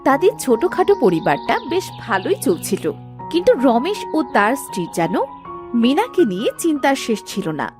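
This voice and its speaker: A woman's voice: sits high, very animated, moderate pace, darkish tone, soft background music. A woman narrating a village moral tale as a scripted cartoon storyteller.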